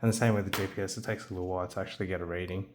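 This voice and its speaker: A man's voice: low voice, steady tone, racing, noisy background. A man with a young son, showing a handheld radio kit in a casual, hesitant way with frequent ums and restarts. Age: adult, has a young son. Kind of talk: casual hands-on product demo.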